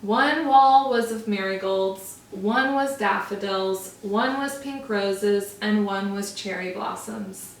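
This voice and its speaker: A woman teacher reading a storybook aloud to young children, with slow, expressive, drawn-out emphasis. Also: medium pitch, a little hiss or hum. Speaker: first-grade teacher. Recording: roomy echo, from far off.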